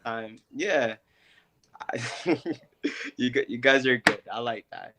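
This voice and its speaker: Slow, hesitant male caller. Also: mid-range voice, very noisy. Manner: slow, polite, hesitant, many ums. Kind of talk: casual call.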